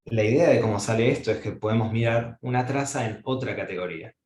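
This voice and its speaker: A man's voice: mid-range voice, quick pace, darkish tone, reverberant. A man giving an academic mathematics lecture, thinking aloud with frequent fillers and restarts.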